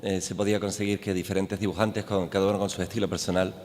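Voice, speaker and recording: A man's voice: deep voice, flat delivery, fast talker, darkish tone. A man in the audience asking a hesitant, filler-heavy question. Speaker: audience member. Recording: distant mic in echoey hall.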